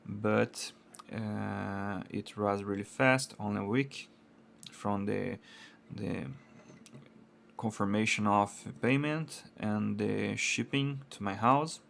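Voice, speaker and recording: A man with a Brazilian Portuguese accent reviews gear in careful, halting English, stopping often on "uh." His voice sits low and gravelly, and he speaks at an unhurried pace. A faint hiss runs under the recording. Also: fairly bright tone. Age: adult, married. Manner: halting non-native English with many uh fillers. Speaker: product reviewer. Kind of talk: casual product review.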